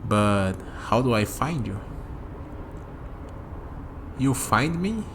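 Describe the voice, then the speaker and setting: A man playing a curious, skeptical young human in an ASMR vampire roleplay, talking hesitantly to the listener and pausing for replies, with steady noise behind him. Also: medium pitch, full character voice. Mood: eager, pleading. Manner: hesitant, conversational, leaves pauses for unheard replies.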